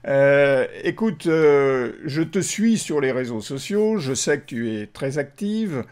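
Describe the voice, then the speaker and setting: A man's voice: medium pitch, animated, slow pace, a little mellow. A man hosting a friendly video interview, speaking with many hesitations and fillers. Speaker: interviewer, show host.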